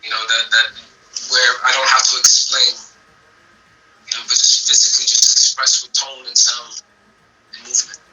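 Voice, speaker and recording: A man from the United States whose first language was Arabic, a singer guesting on a casual interview podcast, speaking haltingly with many restarts. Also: medium pitch, completely flat delivery, pushed and bright, low-quality recording.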